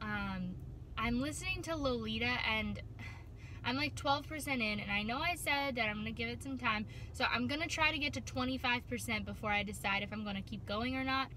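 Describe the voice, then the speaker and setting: A woman's voice: fairly high pitch, brisk, sharp tone, rough recording. A young woman in her twenties chatting casually as a book vlogger, rambling with many ums and likes and sounding a little nasal from a cold. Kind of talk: casual vlog chat. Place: car. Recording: clear car and road noise behind.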